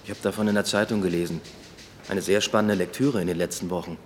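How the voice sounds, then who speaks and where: A young police inspector, played by a man in a radio drama, with a lowish voice and brisk, defensive delivery. He answers quickly and carefully. A long reverb trails his words. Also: steady tone, a little hiss or hum, close to an everyday voice. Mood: guarded. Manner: quick, guarded replies.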